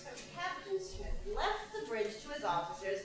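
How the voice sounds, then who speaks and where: Actress as accusing woman, high voice, sarcastic, theatrical, stage play, distant mic, heavy echo. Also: big pitch swings, normal speed, faint music behind. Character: sarcastic, accusing female interrogator spirit. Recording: very echoey stage, distant microphone.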